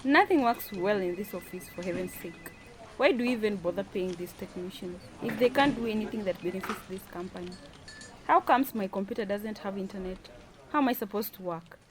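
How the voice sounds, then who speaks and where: Woman acting out an indignant, sharp-tongued wife. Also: medium pitch, fairly quick, noisy background, lightly acted. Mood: irritated, curt. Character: indignant, wounded wife and demanding office boss. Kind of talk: radio drama acting.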